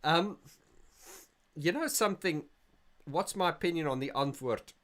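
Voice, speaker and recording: A man's voice: fairly high pitch, lively intonation, measured pace, a bit bright. A middle-aged man from South Africa hosting a casual livestream, reading viewer comments aloud and rambling with frequent restarts. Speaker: livestream host.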